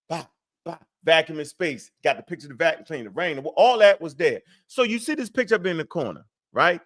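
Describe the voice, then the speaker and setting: High-voiced, animated streetwise man. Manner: animated, streetwise slang, rhetorical asides. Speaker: co-host. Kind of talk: casual live-stream chat.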